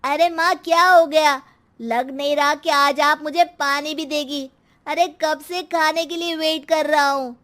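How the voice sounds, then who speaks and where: Entitled teenage son played by a woman, very high, complaining, cartoon. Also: steady tone, measured pace, a bit bright. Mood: impatient. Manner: demanding, complaining.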